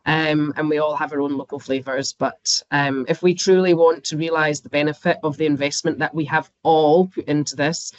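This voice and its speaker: A woman's voice: low voice, steady tone, moderate pace, few pauses, no echo. A woman with a Scottish accent, a banking expert guest talking casually in a podcast interview and laughing often. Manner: chatty, laughs often, uses fillers.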